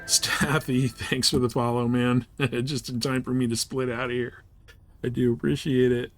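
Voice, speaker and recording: A man's voice: medium pitch, little pitch movement, normal speed, faint music behind. A man livestreaming a video game with casual commentary, rambling a little as he thinks aloud. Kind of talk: casual live gameplay commentary.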